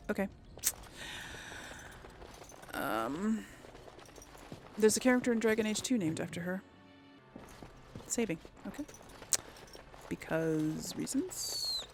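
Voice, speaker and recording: A woman hosting a casual let's play, thinking aloud and often trailing off into mutters. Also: mid-range voice. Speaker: gaming video host.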